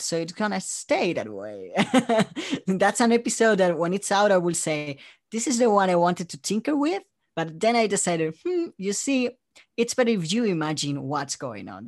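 Guy on a podcast, casual chat, animated storyteller. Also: a little high. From Spain. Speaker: interview guest, audio drama creator.

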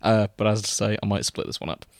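A man's voice: on the low side, steady tone, quick pace, gravelly, dry recording, studio quality. A man, chatting casually as the host of a podcast.

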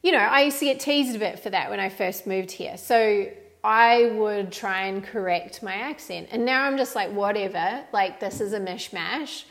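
Animated woman with a Kiwi-Aussie accent. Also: medium pitch, moderate pace. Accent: New Zealand with some Australian. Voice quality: animated, emphatic. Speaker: podcast host. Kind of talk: solo podcast talk.

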